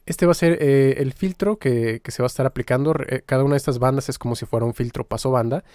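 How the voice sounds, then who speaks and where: A man giving an informal, explanatory software tutorial, pausing now and then as he demonstrates. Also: mid-range voice, dry recording, studio quality. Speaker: music software tutorial instructor.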